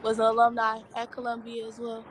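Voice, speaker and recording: A woman's voice: fairly high pitch, monotone, brisk, slight background noise. A young woman in her twenties, a student interviewer asking questions in a hesitant way, full of fillers and restarts. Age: 20s. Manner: hesitant, filler-heavy, self-correcting.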